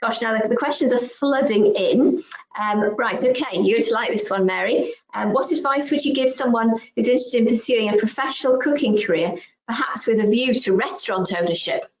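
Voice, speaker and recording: A woman, a school head, hosting a webinar over a video call, measured and encouraging with frequent ums. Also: fairly high pitch, lively intonation. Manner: measured, encouraging, lots of ums and agreeing mm-hmms. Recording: echoey, muffled video call audio.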